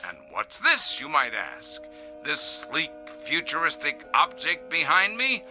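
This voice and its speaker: An actor playing a pompous, self-satisfied factory boss in a radio drama, presenting to shareholders with a showy salesman's delivery and grand pauses. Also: a little high, wildly swooping pitch, over music. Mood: gloating, triumphant. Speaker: company head addressing shareholders. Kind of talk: radio drama acting as a corporate video presentation.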